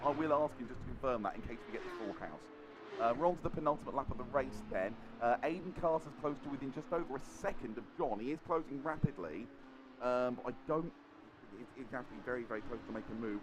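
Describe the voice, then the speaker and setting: A man calling a sim race at a rapid clip. His voice is hoarse from a sore throat, and he gets more excitable as the finish nears. Steady background noise sits under the stream. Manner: excitable, fast, rises in pitch at the finish. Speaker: lead race commentator. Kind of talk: sim racing commentary.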